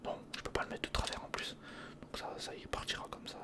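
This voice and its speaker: An ASMR creator, speaking in a breathy whisper close to the microphone, casually talking through hands-on work and repeating short phrases. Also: quick pace. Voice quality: breathy, mostly unvoiced whisper. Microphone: close studio recorder microphone.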